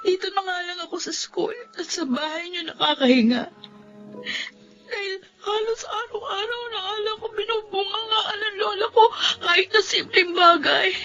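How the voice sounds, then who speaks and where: A woman's voice: very high-pitched, steady tone, moderate pace, sharp tone, soft background music, full character voice. A woman playing a long-suffering eighteen-year-old Filipina student in a melodrama, plaintive and aggrieved. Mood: tearful. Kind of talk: melodramatic drama acting. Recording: dull, muffled lo-fi audio.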